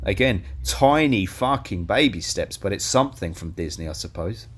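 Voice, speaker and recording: Casual young guy, low voice, swears a lot, slightly hoarse. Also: expressive, moderate pace, a bit bright. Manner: blunt, teasing, swears freely. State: losing his voice. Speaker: podcast co-host. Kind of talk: casual film review chat.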